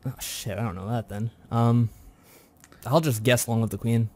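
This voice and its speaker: A man's voice: medium pitch, fairly even intonation, moderate pace, darkish tone. A man chatting casually as a podcast co-host and quiz contestant, giving brief, dry, sarcastic replies. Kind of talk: casual chat.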